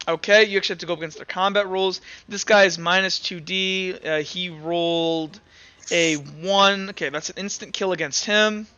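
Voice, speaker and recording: A man working as game master, narrating a tabletop roleplaying game in an informal, halting way as he thinks aloud. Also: high-pitched, steady tone, bright, forceful tone, clean tone, no room sound, highs cut off.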